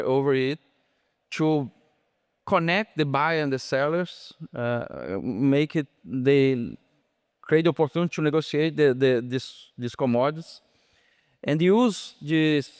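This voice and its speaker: A man from Brazil with a Brazilian Portuguese accent, a bank executive answering on a conference panel in his second language, pausing often and searching for words. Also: fairly high pitch, a little mellow, smooth, no room sound, clean studio sound. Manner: halting, searching for English words, many fillers and restarts.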